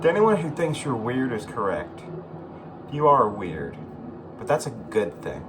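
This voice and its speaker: A man giving casual, rambling video commentary as a host, halting and repeating himself, with informal, sweary phrasing. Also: mid-range voice, very animated, noisy background. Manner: profane, informal. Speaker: YouTube host.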